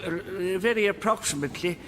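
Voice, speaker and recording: An actor playing a fussy, pedantic elderly court official, hesitant and formal, in a radio comedy. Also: male voice, high voice, fast talker, fairly bright tone. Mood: fussy, apologetic. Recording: long echoing hall, noisy ambience.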